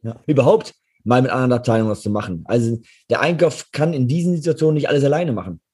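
A hoarse man co-hosting a podcast, chatting casually and agreeably about business. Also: mid-range voice, fast talker. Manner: relaxed, agreeable, often affirming. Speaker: podcast co-host.

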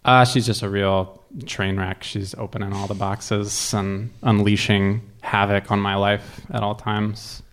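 Low-pitched man telling wry personal stories. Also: level tone, a little slow, hardly any pauses, a bit bright, smooth. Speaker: guest storyteller. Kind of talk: casual personal storytelling.

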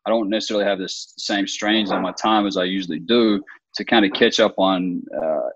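A man's voice: low voice, steady tone, fairly quick, a bit bright, husky, no echo, highs cut off. A man, a basketball coach talking steadily in an interview and repeating words for emphasis. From Australia. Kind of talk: casual interview talk.

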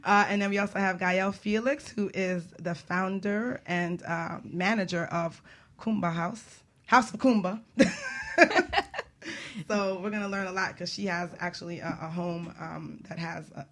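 A woman's voice: on the low side, fairly even intonation, unhurried. A woman hosting a radio talk show in a chatty, informal, upbeat way.

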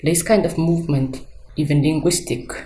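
A woman from Inner Mongolia whose first language is Mongolian, a researcher answering interview questions in a thoughtful, measured way with pauses and restarts. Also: deep voice, fairly even intonation, dark tone, roomy sound, slight background noise. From Inner Mongolia, China. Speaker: guest researcher. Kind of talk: podcast interview.

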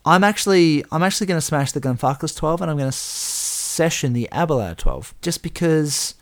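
A man co-hosting a casual podcast, chatty and rambling, his voice a little croaky. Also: fairly high pitch, lively intonation, moderate pace. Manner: chatty, rambling with restarts and laughs. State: slightly croaky voice. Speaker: podcast co-host. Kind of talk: casual chat.

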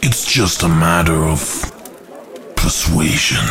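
A man's voice: very deep voice, slow pace, a bit bright, heavily acted. A man playing a scheming rebel angel in an audio drama, grave and persuasive, with solemn, formal diction. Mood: solemn, conspiratorial.

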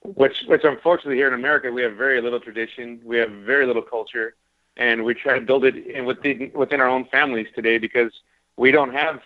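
Radio host, a man who talks fast and earnest, tinny and dry sound. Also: mid-range voice, fairly even intonation, no echo. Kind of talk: casual radio talk show chat.

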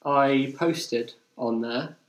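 This is a young man with an English accent. He chats casually and tells anecdotes as a podcast host. His pitch is middling, and the recording is clearly roomy and echoing. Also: drawn-out words. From England. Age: young adult.

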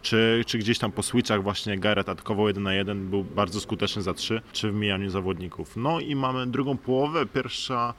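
Male athlete interviewee, lowish voice, halting casual analysis, faintly noisy recording. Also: fairly bright tone. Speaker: guest, professional basketball player. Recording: faint background noise and ambience.

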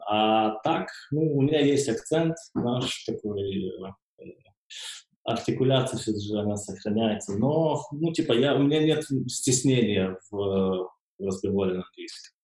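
Guy on a video call, roomy echoey sound, halting chatty talk, lots of uhs. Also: on the low side, expressive, darkish tone. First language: not English. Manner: hesitant, lots of fillers and restarts. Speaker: guest, stand-up comic and screenwriter. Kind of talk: casual chat over video call.

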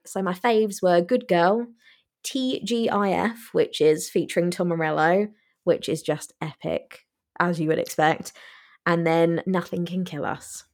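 A woman co-hosting a podcast, chatting casually and enthusiastically about new albums and songs, laughing often. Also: on the low side. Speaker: podcast co-host. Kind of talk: casual music review chat.

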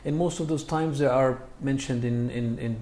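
A man from Egypt, a scholar of Islam, answering interview questions with many pauses and fillers. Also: medium pitch, steady tone, dark tone, roomy sound, slight background noise. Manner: halting, many fillers and restarts.

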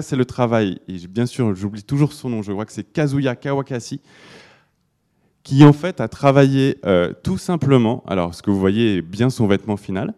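Male speaker, warm mellow tone, halting presentation to a live audience, frequent restarts. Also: medium pitch. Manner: hesitant, many restarts and fillers. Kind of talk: live talk on design with slides.

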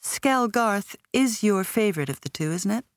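A woman's voice: mid-range voice, expressive, moderate pace, no echo, studio quality, voice pushed up, close to an everyday voice. Woman reading as a direct, perceptive businesswoman. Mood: probing.

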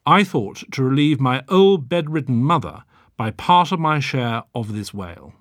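A man's voice: mid-range voice, expressive, normal speed, a bit bright, dry recording, clean studio sound, lightly acted. A man voicing a poor English fisherman, meek and plaintive, pleading in an audiobook. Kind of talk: audiobook character voice.

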